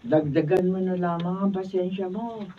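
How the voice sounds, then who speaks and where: A woman playing a calm older mother who gives gentle advice in a Filipino radio drama. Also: fairly low pitch, natural voice. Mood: advising. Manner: gentle, unhurried advising. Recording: thin phone-like, distant, faint noise.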